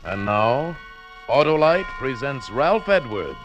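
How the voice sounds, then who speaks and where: Slow, dramatic radio show announcer. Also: male voice, medium pitch, big pitch swings, lo-fi sound. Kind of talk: 1940s radio show introduction. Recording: 1940s radio broadcast over loud music.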